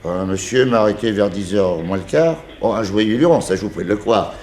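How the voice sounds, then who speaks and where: An actor playing a jovial, defensive horse-cab driver with folksy, blustering speech in a 1960s French radio serial. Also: male voice, lowish voice, brisk, a little mellow, natural voice. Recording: roomy old radio recording, faint noise.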